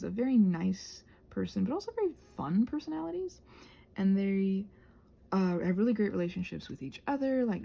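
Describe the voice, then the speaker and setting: A soft-spoken woman in a casual, rambling chat while she crafts, meandering and interrupting herself as she murmurs along. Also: mid-range voice, creaky, breathy edge, highs cut off. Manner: meandering, digressive, self-interrupting. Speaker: craft vlogger and audio creator.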